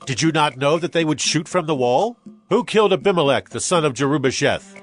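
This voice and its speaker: Guy acting a bold army commander in a bible audio drama, kinda high voice, declaiming, music under it. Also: lively intonation, fairly quick, natural voice. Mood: stern, instructing. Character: commanding male army general, bold and resolute.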